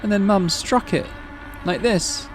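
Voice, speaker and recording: A man's voice: high voice, a little slow, music in the background, voice pushed up, low-quality recording. A man voicing an anxious, pouting little sister in a visual novel, childish and plaintive. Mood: frightened, distressed. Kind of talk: visual novel character read-aloud.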